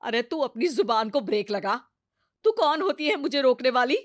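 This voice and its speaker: A woman's voice: sits high, animated, normal speed, no echo, clean studio sound. A woman playing a stubborn, hot-tempered village mother-in-law in a comic cartoon story, scolding and brushing off advice with brash retorts. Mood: defiant, scolding.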